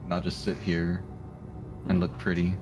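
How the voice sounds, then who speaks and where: A man's voice: low-pitched, completely flat delivery, unhurried. A man chatting casually on a livestream, rambling and giggly as he works on his art. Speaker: streamer drawing art live. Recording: music playing behind the voice. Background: music.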